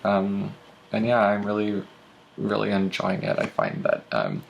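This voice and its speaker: A young man in a casual vlog, talking with frequent ums and uhs. His voice is heavily gravelly and sits fairly low. A faint hiss of room noise sits under him. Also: sing-song, measured pace, low-quality recording. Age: young adult. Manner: chatty, many ums and uhs. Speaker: booktuber. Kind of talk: casual book tag vlog.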